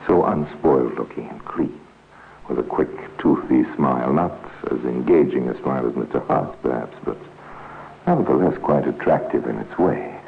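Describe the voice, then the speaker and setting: An actor as the male narrator of a 1950s radio crime drama, telling the story in a measured, grave and wry manner. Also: very deep voice, vocal fry. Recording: tinny, noisy old radio recording.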